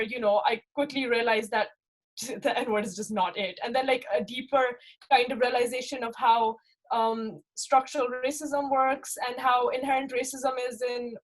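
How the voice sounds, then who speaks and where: Young woman, slight pakistani accent, kinda high voice, thinking out loud with lots of ums and "right?". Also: fairly even intonation, moderate pace, low-quality recording. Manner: reflective, with many fillers and rhetorical "right?". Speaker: interviewee. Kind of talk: casual personal account.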